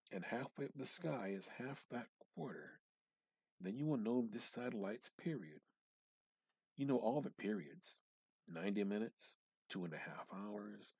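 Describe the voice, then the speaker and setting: A man giving a measured, theatrical reading of a literary short story, drawing out words and pausing for effect. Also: fairly low pitch, darkish tone, husky, dry recording, poor audio. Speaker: amateur reader practicing dramatic reading.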